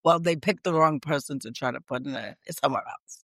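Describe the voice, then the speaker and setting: Raspy, strained woman talking emphatically. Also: low voice, a little fast, no room sound, studio quality. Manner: talkative, emphatic and repetitive. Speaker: guest, speech pathologist. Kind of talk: casual podcast interview.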